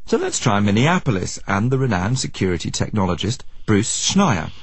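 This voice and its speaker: Animated, husky man presenting a documentary. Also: lowish voice, moderate pace, dry recording. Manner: wry, inquisitive. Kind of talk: scripted radio narration and probing interviews.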